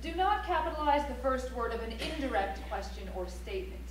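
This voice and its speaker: Prim female rule-giver for a stage play, acted by a woman: clipped, animated commands, echoing from far off in a hall. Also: high voice, a little fast. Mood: instructive. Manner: didactic, emphatic, clipped imperatives. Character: prim female grammar instructor issuing capitalization rules. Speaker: stage actor. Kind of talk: theatrical recitation of a rulebook. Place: library auditorium stage, live play. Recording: echoey auditorium from far off, faint hum.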